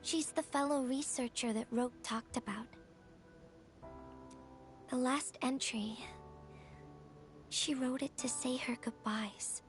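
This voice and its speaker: A woman playing a gentle, wistful young courier in a video game, speaking softly and breathily with a tender, reflective manner. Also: sits high, steady tone, takes it slowly, long pauses, soft background music. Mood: somber, sympathetic. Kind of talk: video game story dialogue.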